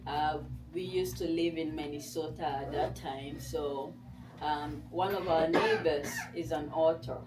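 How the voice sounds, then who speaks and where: A woman's voice: low-pitched, steady tone, a little slow. A woman with a Ghanaian accent and Nzema as her native language gives an informal personal talk as a guest author, in a conversational storytelling way. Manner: conversational storytelling, voices her own past thoughts. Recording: distant mic in a room.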